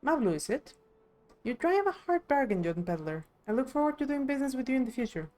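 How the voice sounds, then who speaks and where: A woman's voice: high-pitched, big pitch swings, fast talker, warm tone. A woman reading video game dialogue aloud expressively on a live stream.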